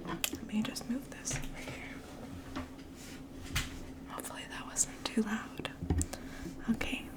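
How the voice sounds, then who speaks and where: A woman whispering in a gentle, breathy, slow ASMR style, as if reading poetry aloud and sharing quiet thoughts. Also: medium pitch. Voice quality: breathy, mostly unvoiced whisper. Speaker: ASMR host and poetry reader.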